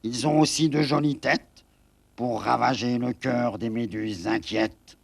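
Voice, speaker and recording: A man reciting poetry with measured, deliberate phrasing. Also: medium pitch, fairly even intonation, vocal fry.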